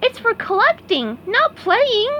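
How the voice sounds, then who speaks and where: Woman doing a storybook sponge, male, overexcited, squeaky, swooping. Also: takes it slowly, highs cut off, slight background noise, full character voice. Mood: excited. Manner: squealing, breathless excitement. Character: cheerful excitable male sea sponge.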